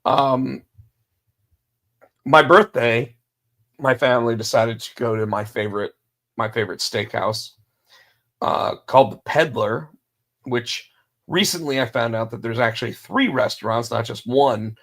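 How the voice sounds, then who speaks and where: Gravelly-voiced man losing his voice. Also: medium pitch, unhurried, pauses often, a bit bright. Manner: rambling, frequent fillers and restarts, throat clearing. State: losing his voice, under the weather. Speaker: podcast host. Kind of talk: solo casual wrestling podcast talk.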